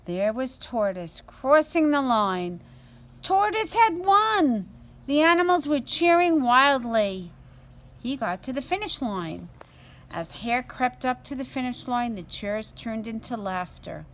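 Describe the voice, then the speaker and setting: A woman reading a children's story aloud as a storyteller, in an expressive, deliberate way. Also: a little high.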